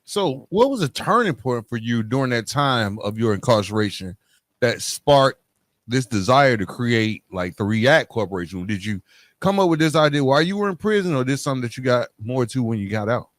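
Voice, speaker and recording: Male podcast host, mid-pitched, scripted intros and casual questions, dry recording. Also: animated, normal speed, no echo.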